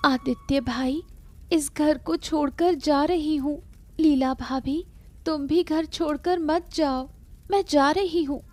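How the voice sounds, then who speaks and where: A woman voicing a gentle, self-blaming young girl, pleading and distressed, in a Hindi cartoon story. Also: high-pitched, slow. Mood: sad, pleading.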